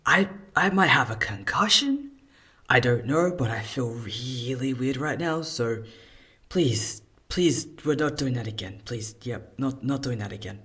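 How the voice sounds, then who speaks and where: A man's voice: medium pitch, animated, a little slow, sharp tone, husky, heavily acted. A man acting a hesitant young man with fractured memories in an audio drama, stammering and thinking aloud. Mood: shaken, pleading. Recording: music under the voice.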